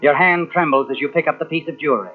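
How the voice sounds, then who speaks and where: An actor playing a knowing, insinuating narrator in a 1940s radio suspense drama, speaking dramatically as if taunting the guilty hero. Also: male voice, a little high, quick pace, faint music behind, close to an everyday voice. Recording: tinny old radio recording from cassette.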